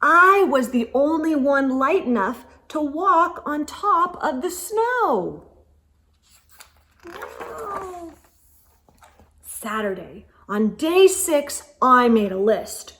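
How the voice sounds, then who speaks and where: A woman reading a picture book aloud to children, expressively, with gasps and hushed asides. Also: high voice, very animated, drawn-out words. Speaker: children's read-aloud storyteller.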